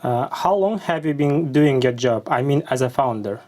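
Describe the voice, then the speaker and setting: A man's voice: mid-range voice, lively intonation, moderate pace, a little mellow. A man with a Russian accent, a native Russian speaker, hosting an interview and asking questions hesitantly with many fillers. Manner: hesitant, many uhs and restarts. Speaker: interview host.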